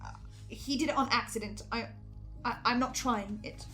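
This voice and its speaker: A woman's voice: on the high side, normal speed, sharp tone. A woman in an in-character panel discussion, speaking in a hesitant, stammering, defensive way. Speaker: podcast panelist in character as a researcher. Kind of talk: semi-improvised in-character discussion of a horror audio drama. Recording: music playing behind the voice. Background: music.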